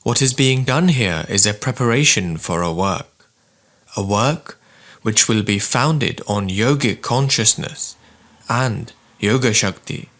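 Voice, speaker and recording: A man reading spiritual writings as scripted narration, in a measured, formal and deliberate manner. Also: lowish voice, a bit bright.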